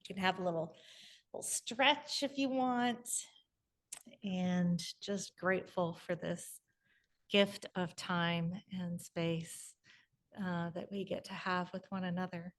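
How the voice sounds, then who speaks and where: Slow, gentle woman guiding a meditation. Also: lowish voice. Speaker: meditation leader. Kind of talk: guided meditation and prayer.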